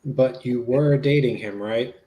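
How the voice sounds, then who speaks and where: A man's voice: medium pitch, unhurried, warm tone, natural voice, low-quality recording. A man reading the part of a police interviewer in a dramatic transcript reading, asking conversational, matter-of-fact questions. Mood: probing, matter-of-fact.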